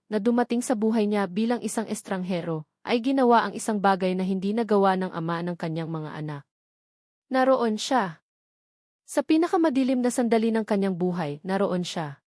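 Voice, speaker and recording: A woman's voice: medium pitch, a little mellow, no room sound, studio quality. A woman with a Filipino accent reading a scripted, dramatic love-story narration.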